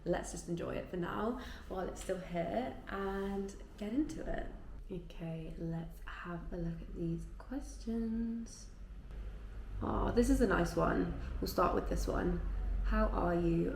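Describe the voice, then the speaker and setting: Woman, twenties, casual chatty vlog Q&A, gravelly fry in the voice, roomy echo, informal phrasing. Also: lowish voice, a little slow, a little mellow. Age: 20s. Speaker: vlogger answering viewer questions. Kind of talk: casual chat to camera, Q&A. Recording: echoey room with faint background noise.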